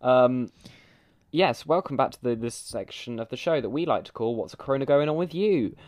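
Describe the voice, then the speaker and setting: A man's voice: mid-range voice, animated, moderate pace, a little mellow, no echo, studio quality. A man hosting a comedy podcast, playful and rambling. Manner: playful, rambling, hesitant with fillers. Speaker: podcast host.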